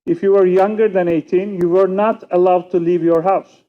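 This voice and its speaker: A man whose first language is Turkish, speaking with a Turkish accent as a panelist giving a measured, reflective conference talk with many pauses. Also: high voice, fairly even intonation, phone-quality sound. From Turkey.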